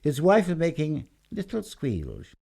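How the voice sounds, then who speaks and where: A man's voice: a little high, huge pitch swings, unhurried, gravelly, warm tone, breathy edge. A man reading a scripted short story aloud in a theatrical, emphatic storytelling manner. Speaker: story reader.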